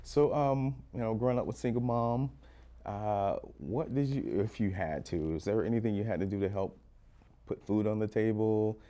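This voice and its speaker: A woman hosting a podcast interview, relaxed and curious, asking questions and laughing along with her guest. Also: very deep voice, moderate pace. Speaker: podcast host.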